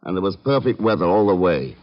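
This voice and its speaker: Low-voiced, cool radio investigator. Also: male voice, very animated, fairly quick, over music. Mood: shrewd, dry. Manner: clipped, dry, probing. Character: cool, terse, shrewd American male investigator. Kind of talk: 1950s radio drama acting. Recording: muffled, noisy old radio recording.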